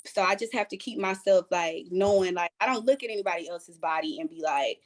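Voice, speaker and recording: A woman's voice: lowish voice, a little fast. A woman panelist talking candidly and colloquially, with plenty of slang and a joking streak. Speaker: panelist, performer.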